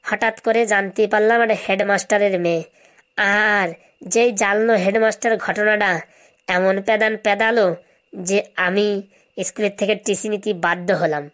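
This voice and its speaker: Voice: mid-range voice, fairly even intonation, normal speed, fairly bright tone, natural voice. A performer voicing a brazen, glib schoolboy in a comedy cartoon. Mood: pleading, boastful. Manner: glib, answers back with absurd confidence. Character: cheeky, brazen schoolboy with buck teeth.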